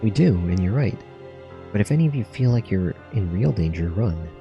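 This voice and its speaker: A man voicing earnest young fantasy student warriors, creaky-voiced. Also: fairly low pitch, lively intonation, moderate pace, dark tone, dull audio. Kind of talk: read-through of game cutscene dialogue. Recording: music under the voice.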